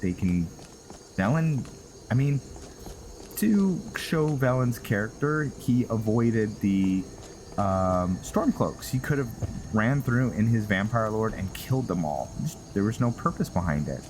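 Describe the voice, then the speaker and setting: A man doing casual role-play commentary on a video game, halting and musing, often trailing off. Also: lowish voice, expressive, background noise. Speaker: gameplay host, role-player.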